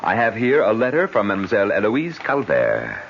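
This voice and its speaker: A man's voice: on the low side, huge pitch swings, brisk. A man playing a proud, indignant French viscount with a reckless gambling habit, in a haughty, theatrical old-time radio drama style. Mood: smug. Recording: muffled old radio recording, faint noise.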